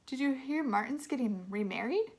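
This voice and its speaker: A woman's voice: high voice, expressive, moderate pace, pitched above the performer's own voice. A woman voicing an easygoing, teasing father in a storybook, with a casual, chatty manner.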